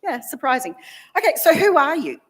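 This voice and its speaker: A woman leads a relaxed interview in a church. Her voice sits fairly high and swoops with lively intonation, and she chats with an easy, teasing warmth. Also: fairly quick. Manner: chatty, lively, laughs easily. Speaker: minister leading worship.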